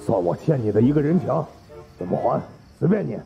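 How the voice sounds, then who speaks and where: A man voicing a gruff, angry, aggrieved male department director in an audiobook, with a gravelly voice. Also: mid-range voice, very animated, a little fast, highs cut off, soft background music, pitched above the performer's own voice. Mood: grudging. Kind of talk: audiobook character acting.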